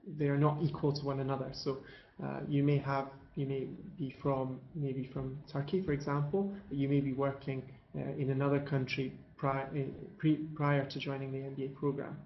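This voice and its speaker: A man walks through admissions slides in a steady, flat voice, pausing often on fillers. The sound is roomy and dull, with faint background hiss. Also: mid-range voice. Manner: measured, halting with many fillers. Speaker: admissions presenter. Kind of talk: webinar presentation. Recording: echoey, dull lo-fi webinar audio.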